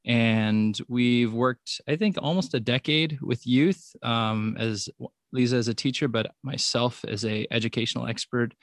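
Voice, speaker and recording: A man speaking as a podcast guest, relaxed and a bit hesitant as he introduces himself. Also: medium pitch, unhurried, no room sound, studio quality. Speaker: guest, educator. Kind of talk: interview conversation.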